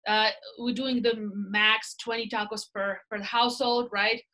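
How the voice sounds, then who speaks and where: A woman in her thirties with a Russian accent, chatting as a guest on a video call about her work, animated and often asking "right?". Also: fairly high pitch, a little slow. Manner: animated storyteller, gestures with her hands, tags lines with "right?". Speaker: real estate team leader, guest. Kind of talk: casual interview chat. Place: small indoor room. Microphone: laptop webcam video call.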